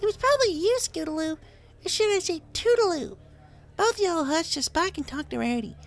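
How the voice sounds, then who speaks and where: A man voicing squabbling little pony fillies in a storybook, childish and cheeky, in a bickering falsetto. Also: lively intonation, brisk. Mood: indignant, bickering.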